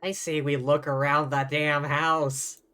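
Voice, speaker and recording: A woman's voice: sits low, level tone, a little slow, a bit bright, smooth. A woman livestreaming a game with casual, dry and irreverent commentary.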